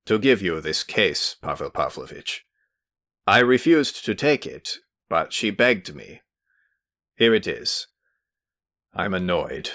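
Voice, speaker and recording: A man reading aloud voices an annoyed, frowning gentleman who speaks low and measured. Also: sing-song, full character voice. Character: weary, annoyed grown man, dry.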